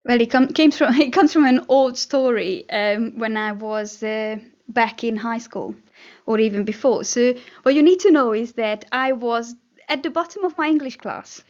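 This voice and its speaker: A woman from Hungary, a guest telling her story in a casual interview, earnest and dotted with fillers and soft laughs. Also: fairly high pitch, moderate pace, muffled recording. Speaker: guest, NLP trainer and hypnotherapist.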